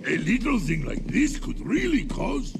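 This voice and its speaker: Gravelly, high-pitched taunting brawler. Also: male voice, huge pitch swings, measured pace, far from the mic, noisy background, exaggerated voice. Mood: scornful. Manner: taunting, exclamatory. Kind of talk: video game cutscene acting. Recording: voice over busy game ambience.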